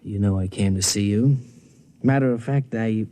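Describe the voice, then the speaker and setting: A man's voice: on the low side, moderate pace, mellow, natural voice. An actor playing a breezy, callous young womanizer with a casual, offhand delivery in a vintage radio drama.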